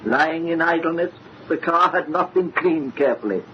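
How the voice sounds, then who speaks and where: Man playing sceptical official, high voice, formal, patronising, old radio drama, muffled. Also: expressive, normal speed, a little hiss or hum, close to an everyday voice. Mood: grave, dismissive. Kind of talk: old-time radio mystery acting.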